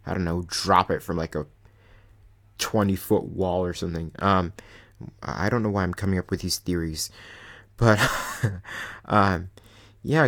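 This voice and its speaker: A man's voice: sits low, a bit bright. A young man hosting his own channel, casually rambling through a microphone review with lots of fillers and self-deprecating asides. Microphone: desk USB microphone.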